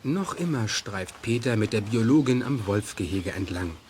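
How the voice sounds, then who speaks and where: A man's voice: on the low side, lively intonation, moderate pace, a little mellow, a little hiss or hum, close to an everyday voice. A man reading scripted, present-tense scene narration for an audio play.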